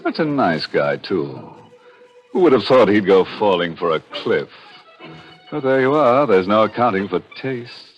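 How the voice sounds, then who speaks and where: Slow, deep, ghoulish horror host. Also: male voice, very animated. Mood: macabre glee. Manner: tongue-in-cheek, mock-sorrowful. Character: ghoulish, gleefully punning horror show host. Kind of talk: 1940s radio horror hosting. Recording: dull old radio, faint music.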